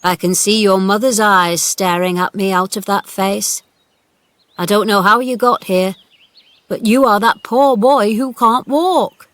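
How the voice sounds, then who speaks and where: Storybook role: woman voicing a cross old gardener, loud and blunt, dry close sound. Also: mid-range voice, fairly even intonation, measured pace, no echo, close to an everyday voice. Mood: gruff. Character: cross old male gardener, gruff but tender. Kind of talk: storybook character voice.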